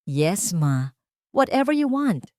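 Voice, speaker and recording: A woman's voice: medium pitch, sing-song, takes it slowly, mellow, no echo, clean studio sound, pitched above the performer's own voice. Woman as a casual, deceitful husband. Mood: agreeable.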